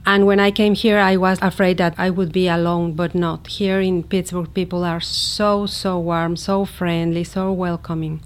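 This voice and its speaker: A middle-aged woman from Mexico City with a Mexican accent, a native Spanish speaker telling her personal story in simple, halting learned English. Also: medium pitch. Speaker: interviewee, immigrant teacher. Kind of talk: personal story told in an interview.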